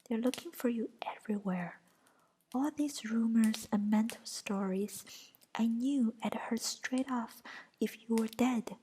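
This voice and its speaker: A woman voicing a defensive young male wizard in a soft whisper. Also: on the high side, measured pace, a little hiss or hum, close to an everyday voice, poor audio. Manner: whispered, pleading then shouting back.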